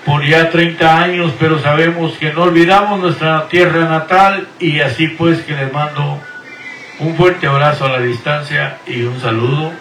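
A middle-aged man preaches in a slightly raised, bright voice, stretching out each blessing at an unhurried pace. He sounds set back from the microphone in an echoey room, with faint ambience behind him. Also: on the high side, slight background noise. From Guatemala. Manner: slow, drawn-out, earnest blessing delivery. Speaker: pastor. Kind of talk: preaching and radio greetings. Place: Houston, Texas. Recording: echoey room, voice from far off the mic.

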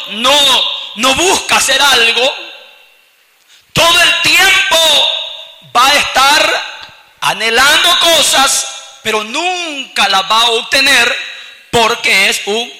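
A man's voice: very high voice, bright, forceful tone, long reverb tail, low-quality recording. A man with a Salvadoran accent preaching an evangelical sermon, emphatic and full of "gloria al Señor" and "aleluya" interjections. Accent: Salvadoran Spanish.

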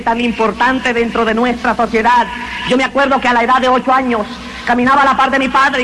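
A man's voice: extremely high pitch, background noise. A man, giving a fervent, emphatic religious testimony that he tells as a story. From Honduras. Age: 20s. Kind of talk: evangelical testimony.

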